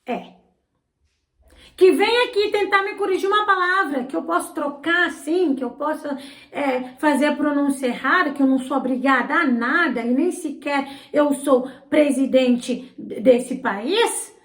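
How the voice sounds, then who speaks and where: A woman's voice: high voice, a little slow, fairly bright tone. A woman who speaks Portuguese as a learned language, hosting her channel with indignant, sarcastic political commentary. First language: not Portuguese. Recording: roomy echo.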